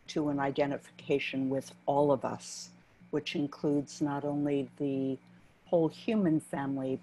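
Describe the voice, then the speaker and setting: A woman moderating a video-call panel, speaking in a gentle, appreciative way. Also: low voice, poor audio.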